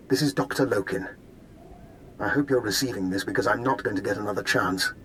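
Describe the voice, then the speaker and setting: An actor playing a resourceful, composed doctor and schemer in a video game, speaking in a measured, persuasive way. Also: male voice, lowish voice, sharp tone, slight background noise, rough recording. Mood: hushed urgency. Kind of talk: video game cutscene acting.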